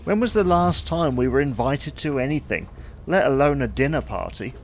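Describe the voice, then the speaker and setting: Man acting a grumbling, henpecked husband, mid-pitched. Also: animated, normal speed, slight background noise, close to an everyday voice. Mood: defensive. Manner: grumbling, dry, mild protest. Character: reluctant, stubborn, henpecked husband with poor taste. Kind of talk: domestic comedy audio drama acting.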